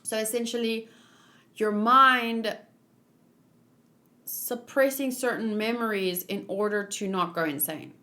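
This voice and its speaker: A woman's voice: fairly high pitch, fairly even intonation, slow, pauses often, bright, forceful tone. Woman streamer reading along live. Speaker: streamer reading manga aloud. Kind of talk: live read-along with casual commentary.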